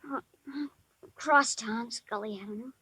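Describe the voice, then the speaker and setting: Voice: mid-range voice, measured pace, sharp tone, slightly rough, no room sound, poor audio. A performer playing a ten-year-old boy in a radio drama, bashful and earnest, with hesitant, ungrammatical street-kid talk. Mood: wistful. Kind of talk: 1950s radio drama acting.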